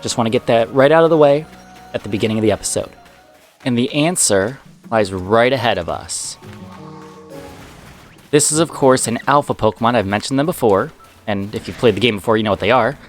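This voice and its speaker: A man commentating his own let's play with an everyday middle pitch, chatty and quick to react aloud to each turn. He sounds slightly sick, his voice a touch off. Faint game music plays underneath him. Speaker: gaming channel host.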